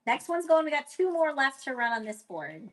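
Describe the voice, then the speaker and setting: A woman's voice: high voice, big pitch swings, moderate pace, a bit bright. A woman co-hosting a live pin sale, upbeat and giggly, calling out buyers. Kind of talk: casual live-sale chat.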